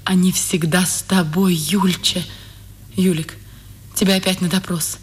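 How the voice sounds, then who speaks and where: Slow, husky, tender wife. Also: female voice, on the low side, steady tone. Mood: tender, worried. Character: tender, anxious wife of a prisoner. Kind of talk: 1950s Soviet radio drama acting.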